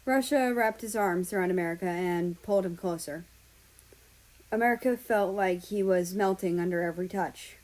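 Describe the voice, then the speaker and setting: A woman reading fan fiction aloud as an expressive narrator. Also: fairly low pitch, normal speed, rough recording. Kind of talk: expressive storybook-style narration.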